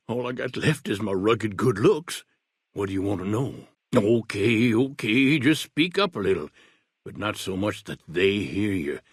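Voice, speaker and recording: A man's voice: on the high side, husky, dry recording, clean studio sound, exaggerated voice. A man voicing a paranoid, rambling older wasteland eccentric in a video game, with a folksy backwoods drawl and a suspicious, conspiratorial manner. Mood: pleading. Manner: suspicious, conspiratorial, jumps between whispers and outbursts.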